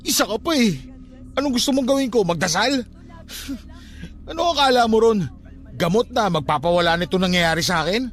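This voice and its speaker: A man's voice: high-pitched, animated, moderate pace, long pauses, fairly bright tone. An actor playing a frightened, stubborn young man haunted by a ghost in a radio drama, defensive and heated.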